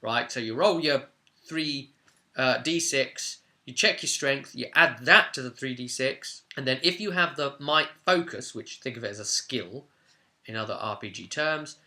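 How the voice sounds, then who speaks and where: A man's voice: mid-range voice, pushed and bright. A man presenting an informal explainer on game rules in an enthusiastic, conversational manner. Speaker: presenter of a tabletop game guide.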